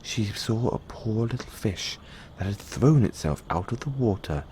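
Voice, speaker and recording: A man reading bedtime fairy tales as an audio roleplay, in measured storybook narration. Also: lowish voice, lively intonation, a little mellow, slight background noise.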